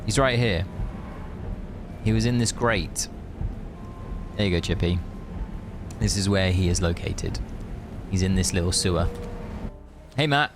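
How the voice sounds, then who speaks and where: A man's voice: low-pitched, unhurried, long pauses, background noise. A man streaming a game, chatting casually and rambling in friendly asides to his viewers. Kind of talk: casual live gaming stream chat. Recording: music behind the voice.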